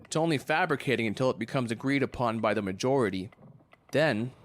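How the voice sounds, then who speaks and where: A man's voice: mid-range voice, expressive, brisk, natural voice. A man acting in a conversational stage drama, playing a glib, crude, wounded ex-lover who rambles and needles. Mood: teasing, mock-earnest. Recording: faint background noise.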